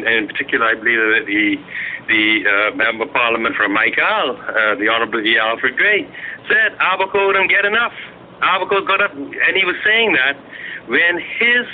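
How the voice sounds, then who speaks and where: Man on noisy, phone-like radio. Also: medium pitch. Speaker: political party spokesman and guest. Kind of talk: radio political interview.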